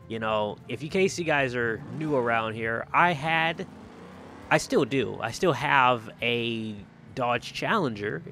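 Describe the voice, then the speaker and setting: A man's voice: medium pitch, pushed and bright, slight background noise. A man hosting a gaming channel with casual, enthusiastic commentary on cars, often repeating phrases for emphasis. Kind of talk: casual video game roleplay commentary on cars.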